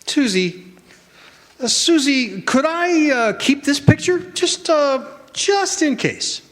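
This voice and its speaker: A man playing a kindly, jolly old Santa Claus in a stage radio drama, coaxing and fond with halting speech. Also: very high-pitched, lively intonation, fairly bright tone, exaggerated voice. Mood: fond, gentle. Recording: echoey hall stage recording.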